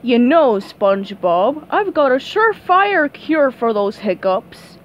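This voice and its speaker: A woman plays a peevish male squid from a cartoon. The delivery is sardonic and melodramatic, with big swings in a high-set voice. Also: sing-song, slow pace, highs cut off, full character voice. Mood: sly. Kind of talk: hobby cartoon comic dub.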